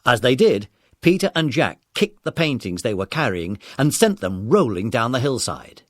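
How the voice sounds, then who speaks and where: A man narrating a children's adventure story in a measured, dramatic storytelling manner. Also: mid-range voice, sing-song, a bit bright, dry recording, clean studio sound.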